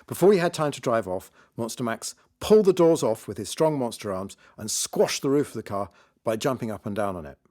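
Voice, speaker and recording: Animated man reading a children's story. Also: medium pitch, a little fast. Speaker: author reading his children's book. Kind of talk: lively scripted story narration.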